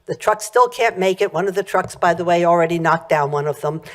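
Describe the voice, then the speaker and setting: A woman with a loud voice speaking at a township meeting's public comment, rambling earnestly with many fillers and restarts into a podium microphone. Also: lowish voice, fairly quick.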